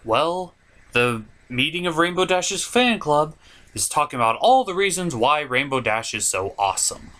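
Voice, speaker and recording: A man's voice: a little high, very animated, moderate pace, fairly bright tone. A man hosting a comedic cartoon review, narrating from a script in a theatrical, playful way. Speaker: host and reviewer.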